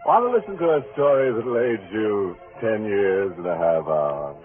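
Man playing a ghoulish radio horror host, low swooping voice, theatrical, tongue-in-cheek, music, muffled vintage recording. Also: lively intonation, moderate pace, lightly acted. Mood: macabre glee. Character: ghoulish, gleefully macabre horror-show host and narrator. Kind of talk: 1940s radio horror hosting.

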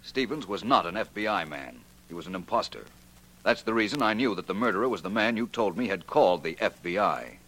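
A very low male voice carries this shrewd detective. He speaks with crisp assurance, setting out his logic point by point in the manner of a 1940s radio mystery. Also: lively intonation, fairly quick, fairly bright tone, rough recording. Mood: confident, commanding. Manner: crisp, confident, methodical explaining.

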